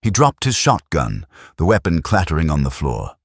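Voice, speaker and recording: Very deep male audiobook narrator. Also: wildly swooping pitch, slightly rough, dry recording, clean studio sound. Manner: dramatic, measured delivery.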